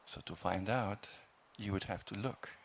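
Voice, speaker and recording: A man teaching a guided meditation, speaking in calm, measured phrases with long pauses between them. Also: lowish voice, slight background noise. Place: meditation hall.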